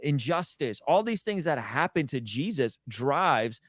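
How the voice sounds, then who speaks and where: A man's voice: medium pitch, big pitch swings, a little slow, fairly bright tone, no echo, clean studio sound. A man speaking as a religious apologist in impassioned conversational preaching, repeating phrases for weight.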